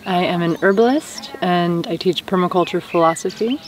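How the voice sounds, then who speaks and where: A young woman from the United States, an herbalist and permaculture teacher, speaking in an earnest, passionate and measured way outdoors in a rural field. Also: lowish voice. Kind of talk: casual interview talk. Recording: outdoor countryside noise. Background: birds in the countryside.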